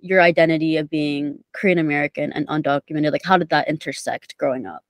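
A woman's voice: low voice, fairly even intonation, fast, no echo. A young woman from Indonesia conducting a casual interview, asking prepared questions. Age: young adult. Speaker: interviewer, student.

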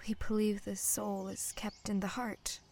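An actress playing an earnest, pleading mermaid in human form in an audio drama, speaking softly and hesitantly, often near a whisper. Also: female voice, mid-range voice, flat delivery, measured pace. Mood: solemn, confiding. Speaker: voice actor.

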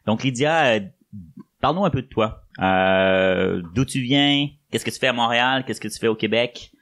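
A man's voice: mid-range voice, normal speed, a bit bright. A man hosting a podcast in a conversational interviewing style. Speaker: podcast host.

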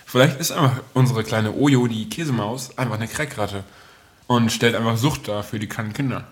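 A man's voice: medium pitch, brisk. A man co-presenting a podcast in casual, humorous conversation.

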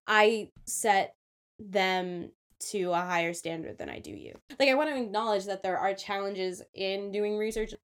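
A woman's voice: medium pitch, lively intonation, measured pace. A young woman in her twenties giving a casual but careful critique of a film, hedging her points as she goes. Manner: thoughtful, hedging, many "like" fillers.

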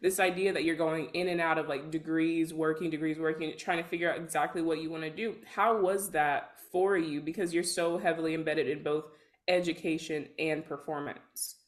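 A young woman with a distinctly low-pitched voice co-hosts an interview. She talks casually and conversationally as she puts her questions to a guest. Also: steady tone, moderate pace. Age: young adult. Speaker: podcast co-host, student.

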